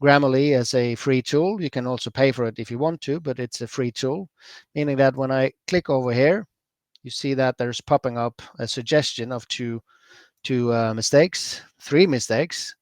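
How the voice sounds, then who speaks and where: A man from Norway with a Norwegian accent, talking through a software demonstration for a user group. His voice sits at an ordinary middle pitch and is recorded dry and close. He keeps things loose and chatty, full of fillers and quick self-corrections. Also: no room sound. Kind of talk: screen-share software demo.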